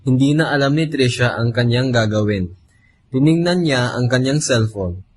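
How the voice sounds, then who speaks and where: A man narrates a radio drama from a script. His voice sits at a medium pitch, with faint ambient noise behind it. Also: moderate pace.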